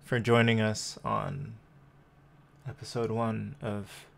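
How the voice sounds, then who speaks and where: A man's voice: on the low side, completely flat delivery, slow, gravelly, a bit bright. A man narrating a tabletop roleplaying game as game master.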